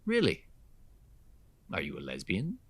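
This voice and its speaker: A man's voice: low voice, wildly swooping pitch, unhurried, dry recording, full character voice. A man playing a condescending, sexist wealthy homeowner with a haughty, insinuating manner in an audio drama. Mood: prying, condescending. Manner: hesitant fillers, haughty, insinuating.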